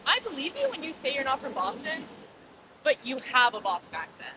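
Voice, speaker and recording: A woman's voice: high voice, huge pitch swings, fairly quick. A woman playing a skeptical, easily exasperated young woman on a date in a comedy sketch. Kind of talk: acted comedy sketch dialogue. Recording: noisy outdoor location sound.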